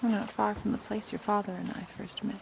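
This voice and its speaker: A woman playing a gentle, wry Norse warrior mother in a cinematic video game, speaking tenderly and with measured, soft teasing. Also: medium pitch, level tone, gravelly, low-quality recording. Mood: warm, wistful. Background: music.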